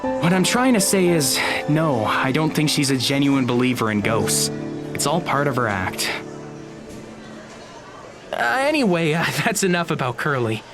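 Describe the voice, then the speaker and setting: A man plays an awkward, polite high school boy in an audio drama. He talks in a hesitant, stammering way, like an eager friend, at a middling male pitch, with music clearly playing underneath. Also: sing-song, measured pace, pauses often. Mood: hesitant, friendly. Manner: hesitant, stammering, nervous chuckles.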